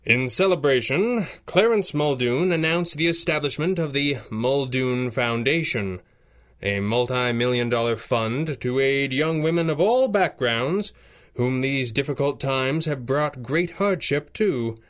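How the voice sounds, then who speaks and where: An actor playing a masked 1930s crime-fighting hero in a radio adventure, articulate and formal with a dry wit. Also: male voice, mid-range voice, lively intonation, unhurried, close to an everyday voice. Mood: earnest, measured. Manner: articulate, formal, theatrical delivery.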